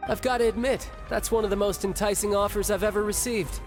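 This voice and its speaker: A man's voice: sits high, fairly even intonation, fast talker, faint music behind. A man voicing a cocky, playful young warrior who lives for battle in an anime-style game.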